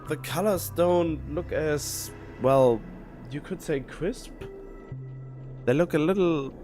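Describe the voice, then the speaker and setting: A man's voice: a little high, slow pace, darkish tone, faint music behind. A man livestreaming a game, chatting casually and muttering his thoughts aloud to himself. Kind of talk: casual solo stream chat while playing a game.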